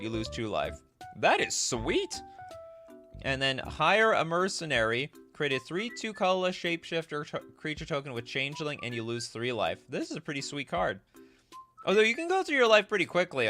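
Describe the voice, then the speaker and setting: A man with a bright, forward voice and highly animated, swinging intonation. He hosts a casual live stream, reading text aloud and thinking out loud as he comments. Light music plays behind him. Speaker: host of a card game live stream.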